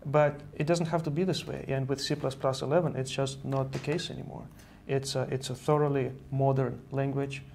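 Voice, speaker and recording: Man, gravelly, earnest explainer, lapel mic, faint background hiss. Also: medium pitch, flat delivery, fairly quick, few pauses, a little mellow. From Estonia. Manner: earnest, repeats words as he explains. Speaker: guest, software engineer. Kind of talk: studio interview. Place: virtual news-style studio set.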